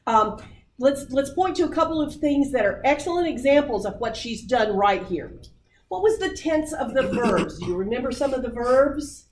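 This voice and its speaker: A woman leading a writing workshop, coaching people on their book pitches with many fillers. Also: expressive, normal speed, background noise. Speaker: workshop presenter. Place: meeting room. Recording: roomy distant audience recording.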